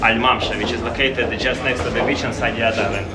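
A man's voice: medium pitch, fairly even intonation, fairly quick, a loud crowd. A man hosting a casual property tour vlog from a phone camera, upbeat and repeating his remarks. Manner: upbeat, repeats phrases as he chats. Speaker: real estate vlogger, tour host. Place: unfinished apartment indoors, bare echoing rooms. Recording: echoey empty room, long reverb, music over it.

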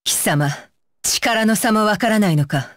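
A woman's voice: mid-range voice, animated, unhurried, dry recording, studio quality, lightly acted. A woman playing a fierce, disdainful young female shinobi with a curt, commanding manner, in anime video game battle lines. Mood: scornful.

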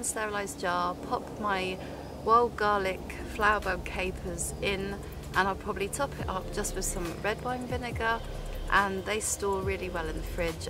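A woman in her thirties chatting casually and enthusiastically to camera as she hosts an outdoor vlog in the woods. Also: mid-range voice. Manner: enthusiastic, giggly. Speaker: vlog host, forager. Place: outdoors in a woodland.

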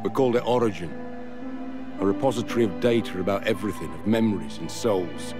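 Low male voice, acted veteran fighter, blunt, wry, colloquial, video game drama, music behind. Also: fast, close to an everyday voice. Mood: explaining, grave. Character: gruff older veteran fighter, blunt and wry, explaining history.

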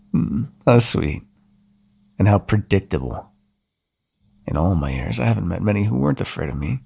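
A man playing a gravelly, mocking old vampire. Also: on the low side, full character voice. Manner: condescending, teasing, turns sharply threatening. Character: centuries-old male vampire, menacing and mocking. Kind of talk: ASMR audio roleplay.

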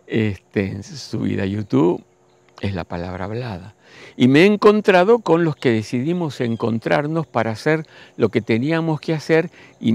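Gravelly man in his sixties. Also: lowish voice, darkish tone. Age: 60s. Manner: slow, musing, addresses listeners directly. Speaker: spiritual teacher. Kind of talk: reflective spiritual monologue.

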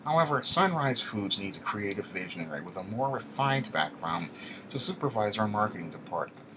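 A man's voice: big pitch swings, fairly quick, noisy background. Man putting on a pompous, nasal corporate boss. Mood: condescending. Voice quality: twangy, nasal, pitched up. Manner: pompous, jargon-heavy, condescending. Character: arrogant, contemptuous male corporate vice president. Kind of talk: audiobook character dialogue.

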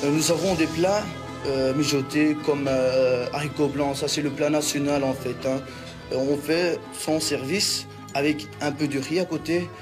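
A man with a slightly high voice talks in a relaxed, conversational way, with a few hesitations. Clear background music plays under his voice, as in a documentary reportage. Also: a little slow. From Belgium. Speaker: interviewee. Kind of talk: documentary interview.

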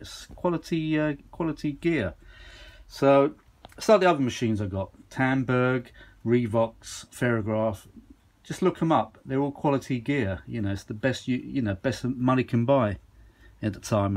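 A man's voice: mid-range voice, a little mellow. A man chatting casually and off the cuff, rambling along with informal enthusiasm as he shows his gear. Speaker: vintage audio enthusiast presenting his gear. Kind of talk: casual off-the-cuff chat, handheld demo. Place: carpeted room at home.

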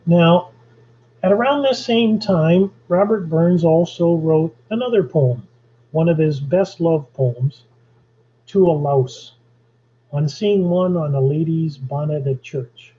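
A man's voice: fairly high pitch, slow, pauses often, warm tone. A man from Canada giving an online lecture on poetry and history, explaining at an easy pace with jokey asides. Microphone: video call. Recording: dull lo-fi video call audio.

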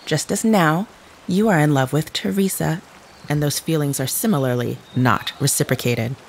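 A woman playing a cold, unsparing otherworldly woman in an audio drama, with measured, formal, precise diction. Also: low-pitched, slight background noise. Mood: cold, accusing.

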